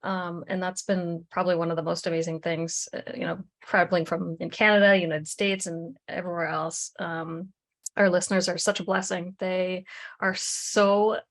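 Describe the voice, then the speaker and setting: A woman's voice: on the low side, flat delivery, moderate pace. Thankful woman co-host, lots of ums. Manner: warm, thankful, many fillers. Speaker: podcast co-host. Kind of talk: casual video call chat.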